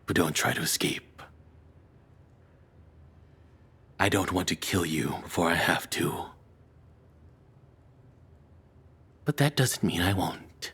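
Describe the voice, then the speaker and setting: A low, breathy male voice for an obsessive, unhinged captor acted by a man in an audio roleplay. He confides softly and haltingly, tender toward his beloved yet calmly menacing. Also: full character voice. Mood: calm, threatening. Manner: soft, confessional, hesitant, calmly menacing. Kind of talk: intimate scripted audio roleplay monologue.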